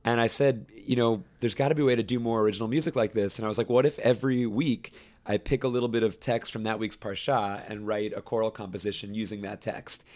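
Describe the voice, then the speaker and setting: A young man who directs a camp choir, explaining his work at length in a relaxed interview, with fillers and restarts. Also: lowish voice, fast talker. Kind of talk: conversational interview.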